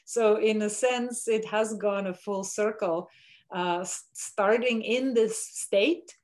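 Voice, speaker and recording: A woman's voice: mid-range voice, darkish tone. Thoughtful Bulgarian-born woman on a video call. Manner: thoughtful, measured, with frequent fillers. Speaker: professor, interview guest. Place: home room with window blinds.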